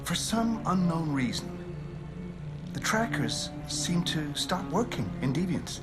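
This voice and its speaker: An actor playing a sinister, smug man who experiments on androids in a video game, with a slow, mock-polite, condescending delivery. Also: a little high, sing-song. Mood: smug, menacing. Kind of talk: dramatic video game acting. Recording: game music behind the voice.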